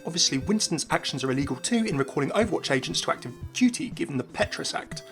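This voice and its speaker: Fast-talking man, game commentary. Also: a little high, expressive, a bit bright, soft background music. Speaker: YouTube game lore presenter. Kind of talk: scripted video commentary. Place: voice-over with faint music behind.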